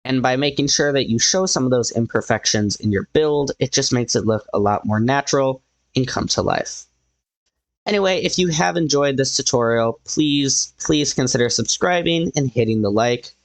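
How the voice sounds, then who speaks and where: A man hosting a casual game building tutorial, thinking aloud as he builds, slightly hoarse because he is losing his voice. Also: mid-range voice, normal speed, no echo. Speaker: tutorial host.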